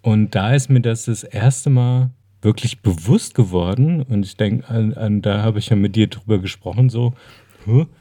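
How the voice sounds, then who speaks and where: Male podcast co-host, lowish and very mellow, wry conversational delivery with some groping for words, dry close sound. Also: normal speed, no echo. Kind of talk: casual podcast chat.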